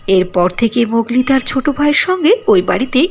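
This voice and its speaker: A woman's voice: on the high side, big pitch swings, a little fast, slightly rough. A woman narrating a cartoon fairy tale in a sing-song storytelling manner.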